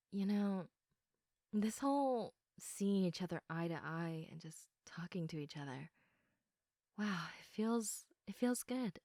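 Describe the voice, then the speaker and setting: Sleepy, affectionate girlfriend played by a woman for bedtime ASMR roleplay; soft, giggly, reassuring, very unhurried delivery, dry intimate sound. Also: medium pitch, animated, dry recording. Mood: loving, content. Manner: soft, unhurried, often giggly, dropping into whispers. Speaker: audio roleplay performer.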